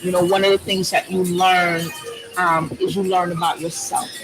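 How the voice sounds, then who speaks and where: A woman guest with a loud, strong voice, talking earnestly and thoughtfully with frequent ums in a huge, loud space. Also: on the low side, little pitch movement, moderate pace, over music, rough recording. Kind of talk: reflective personal conversation.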